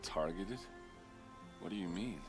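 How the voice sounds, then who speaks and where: Low-voiced blunt warrior man. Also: a little slow, music in the background. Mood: disbelieving. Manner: blunt, incredulous. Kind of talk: naturalistic video game cutscene acting.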